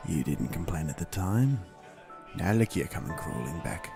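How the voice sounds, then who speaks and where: A man playing a cruel, smug military officer in an audio drama, taunting and contemptuous, with a gravelly voice. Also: low-pitched, lively intonation, normal speed, dark tone, soft background music. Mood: sneering contempt. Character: cruel, smug, sneering male army officer villain.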